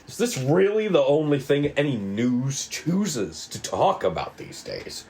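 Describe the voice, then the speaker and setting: Man playing a theatrical, brooding detective, slow delivery. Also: medium pitch, wildly swooping pitch, vocal fry, darkish tone, slight background noise, pitched above the performer's own voice, exaggerated voice. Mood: annoyed, brooding.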